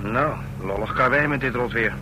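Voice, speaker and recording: An actor playing a gruff, grumbling, mocking kidnapper and getaway driver in a radio play, speaking in a sneering, slangy way. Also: male voice, mid-range voice, normal speed, natural voice. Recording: noisy old radio drama recording.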